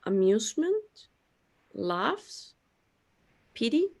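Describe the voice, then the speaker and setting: Woman playing a slow-spoken, lonely immortal creator god. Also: on the high side, big pitch swings, a little mellow, heavily acted. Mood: plaintive. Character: lonely, self-pitying immortal creator god, the Bornless One. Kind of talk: scripted audio drama dialogue.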